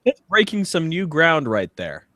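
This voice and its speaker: Guy podcast host, kinda high voice, jokey chat, stammers a bit, really dry close sound. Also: wildly swooping pitch, measured pace, pushed and bright, no room sound. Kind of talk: casual comedic banter.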